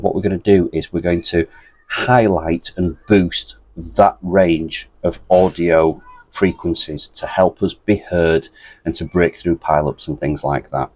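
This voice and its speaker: A British man presenting a step-by-step ham radio tutorial in a deep, bassy voice, steady and methodical with a few ums. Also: no echo. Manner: steady, methodical, explains with fillers.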